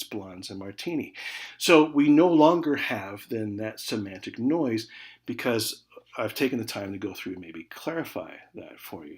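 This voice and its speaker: A man with an American accent giving an informal online college lecture, conversational, stressing key words and then trailing off softly. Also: mid-range voice. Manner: conversational, emphatic key words trailing off into soft check-ins like "okay". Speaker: college communication instructor.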